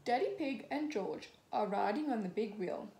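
A young woman in her twenties, a teacher, reading a picture book aloud to children slowly and clearly, acting out the characters. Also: fairly high pitch, animated, warm tone. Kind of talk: children's storybook read-aloud. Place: small room at home, indoors. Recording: echoey room, faint background hiss.

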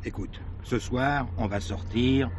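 Actor doing weary middle-aged cheating husband, stammers and blusters, bit of background noise, radio drama. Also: male voice, mid-range voice, lightly acted. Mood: placating. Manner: hesitant, stammering, quick to bluster. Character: evasive, weary married man placating his mistress. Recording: noisy recording with background ambience.

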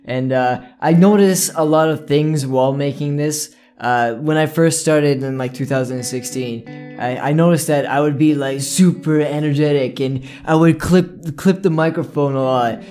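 A man's voice: a little high, normal speed, a little mellow. Young man rambling casually over guitar music. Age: young adult. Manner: rambling, repeats himself. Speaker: video creator, gaming YouTuber. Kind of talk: casual chat to camera. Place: small indoor room with plain backdrop.

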